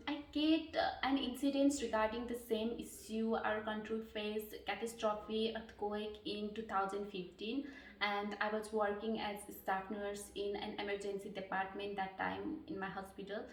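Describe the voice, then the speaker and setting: A woman in her thirties from Nepal, answering mock job interview questions earnestly, pausing with fillers and restarting phrases. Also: a little high. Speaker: job candidate. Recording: echoey room, distant mic.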